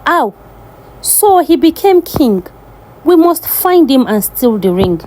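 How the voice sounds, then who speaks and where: Quarrelsome old male sorcerer, woman narrator acting: folktale schemer, high and very animated. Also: slow pace, heavily acted, rough recording. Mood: scheming. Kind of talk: folktale storytelling.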